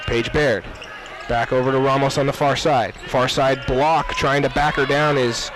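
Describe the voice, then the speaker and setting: A man's voice: medium pitch, fairly even intonation, moderate pace. A young man calling live basketball play-by-play in clipped running phrases, raising his voice for key plays. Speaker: play-by-play announcer. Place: courtside in a basketball gym. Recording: noisy gym with loud crowd behind. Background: crowd, bouncing basketballs.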